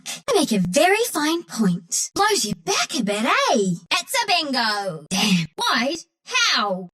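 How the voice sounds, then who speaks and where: A woman's voice: sits high, a bit bright, husky, heavily acted. A woman playing a cheeky, scrappy young woodland trickster creature in a video game, with a New Zealand accent and a brash, playful, slangy way of talking. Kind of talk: video game character voice lines.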